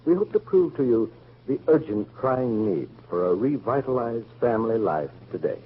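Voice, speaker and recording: A man's voice: medium pitch, very animated, normal speed, a little hiss or hum, lightly acted. An actor playing an earnest family man in a 1940s radio drama, making formal, emphatic speeches. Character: earnest, oratorical family man championing the American home. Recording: narrow, tinny old radio recording.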